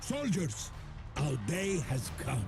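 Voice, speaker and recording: Defiant warrior rallying soldiers: solemn, declamatory, widely varied pitch, video game drama with music behind. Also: male voice, sing-song, slow, heavily acted. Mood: solemn resolve.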